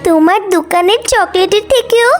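Extremely high, sweet little cartoon girl. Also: normal speed, soft background music. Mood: eager telling. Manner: childlike, eager. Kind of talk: children's cartoon voice acting.

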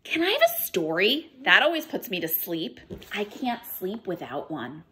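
Woman doing a drowsy whiny bedtime creature, soft and slow, bright tone, faint hiss behind. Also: medium pitch, sing-song. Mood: pleading. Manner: soft, slow, pleading and stalling. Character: sleepy, needy bedtime creature, gentle and whiny.

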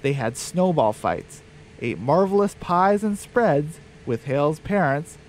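A man narrating a scripted radio drama in a deliberate storytelling delivery. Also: fairly high pitch, animated, slight background noise, low-quality recording.

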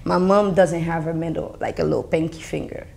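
A woman's voice: low-pitched, brisk, gravelly, a little mellow. A young Haitian woman with a Haitian accent, talking earnestly as an interview guest in an indoor studio. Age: young adult. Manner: earnest, switching between English and Haitian Creole. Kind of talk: candid conversational interview.

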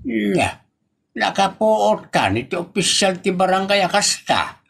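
A man's voice: high voice, very animated, unhurried, vocal fry, fairly bright tone, breathy edge, full character voice. A man playing an exasperated, teasing older village father in an Ilocano comedy drama, stammering and exclaiming with an Ilocano accent. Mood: exasperated, coaxing. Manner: stammering, repeated interjections and exclamations.

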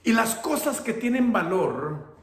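A man in his fifties, a pastor with a northern Mexican Spanish accent, preaching slowly and emphatically from his home, repeating key words. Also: high voice, sing-song. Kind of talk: sermon. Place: home living room, indoors. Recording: roomy echo.

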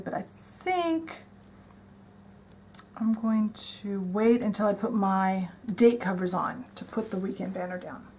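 A woman's voice: a little high, breathy edge. A woman casually narrating as she decorates her planner, chatty and enthusiastic, thinking aloud as she works at a desk. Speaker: planner vlogger. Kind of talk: casual show-and-tell narration. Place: indoors at a desk.

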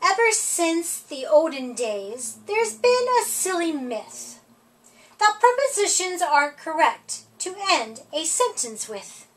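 A middle-aged woman reads a picture book aloud at storytime. Her voice sits high and swings widely in pitch. She takes her time, leaning on the rhymes in a sing-song way. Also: sing-song, takes it slowly, fairly bright tone, rough recording. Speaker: storytime reader.

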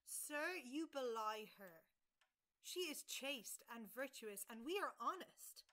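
A woman's voice: high voice, expressive, takes it slowly, bright, forceful tone, heavily acted. A woman playing a stern, scornful gallant who scolds formally in an early modern audio drama. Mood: scornful reproof. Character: stern, scornful gallant defending honest friends. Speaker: amateur actor.

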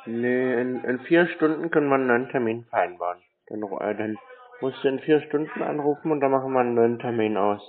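Deadpan man, phone-like sound. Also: medium pitch, fairly quick. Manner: deadpan, curt, mocking. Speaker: scambaiter taking scam calls. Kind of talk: casual phone chat. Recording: phone-like sound, faint noise, clicks.

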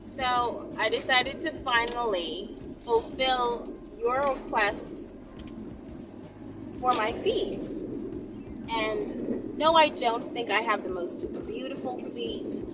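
A woman's voice: on the high side, expressive, takes it slowly. A woman in her thirties chatting casually and candidly to camera in a sassy, emphatic way, repeating phrases for emphasis. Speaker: vlogger answering viewer questions. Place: inside a car, often while driving. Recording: noisy car interior, slightly distant mic. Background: vehicle and road noise.

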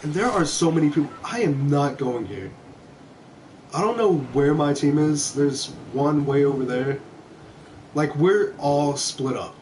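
A man's voice: on the high side, lively intonation, a little slow, a little mellow, a little hiss or hum, poor audio. A young man from Kentucky with an American accent, telling rambling casual stories while he streams a video game. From small town in Kentucky, USA. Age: young adult. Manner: rambling, conversational. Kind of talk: casual storytelling over gameplay. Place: indoor room at home. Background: background music.

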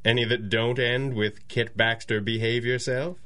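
A man's voice: medium pitch, fairly even intonation, moderate pace, lightly acted. A man playing a genial, self-assured wealthy boss in a radio drama, polite and breezy. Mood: bemused. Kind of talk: 1930s-style radio drama acting.

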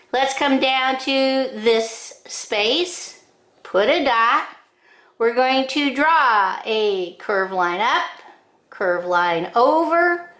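An older woman giving step-by-step drawing instructions in short, deliberate phrases with frequent pauses, recorded in an echoing room. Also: a little high, a bit bright. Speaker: drawing teacher. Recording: roomy, echoing indoor sound.

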